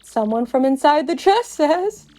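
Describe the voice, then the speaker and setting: A woman hosting a narrated video game playthrough, playful and expressive with cutesy exclamations, with music behind her. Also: sits high, unhurried. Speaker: podcast host and video game player. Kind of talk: playful narrated let's play, storytelling.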